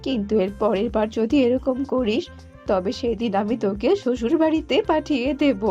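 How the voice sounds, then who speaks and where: A woman's voice: on the high side, moderate pace, dark tone, muffled recording, faint music behind, lightly acted. A woman voicing an exasperated cartoon mother bird, nagging and full of strict threats. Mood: scolding.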